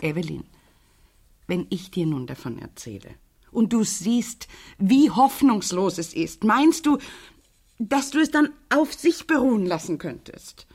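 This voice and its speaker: A woman playing a sad, guarded widow in a German radio crime drama, speaking gently in a hushed, hesitant, measured way. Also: medium pitch, big pitch swings, slightly rough, heavily acted. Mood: reluctant.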